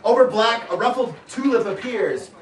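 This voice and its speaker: A woman's voice: on the high side, lively intonation, normal speed, a little hiss or hum. A woman theatrically narrating stage directions in a comic script reading, breaking off into playful asides. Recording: echoey room, far-off camera mic, crowd.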